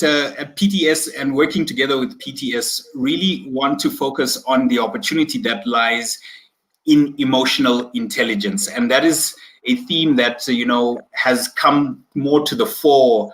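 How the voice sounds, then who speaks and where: A man's voice: mid-range voice, unhurried, fairly bright tone, low-quality recording. Upbeat man hosting a video-call interview. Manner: upbeat, chatty, filler-heavy. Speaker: host. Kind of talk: hosting an online executive interview.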